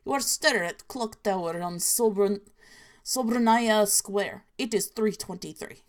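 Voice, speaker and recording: A woman voicing a grim, deadpan Soviet storyteller in a put-on mock Russian accent with clipped, broken grammar. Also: mid-range voice, unhurried, lightly acted. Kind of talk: reading creepy stories aloud.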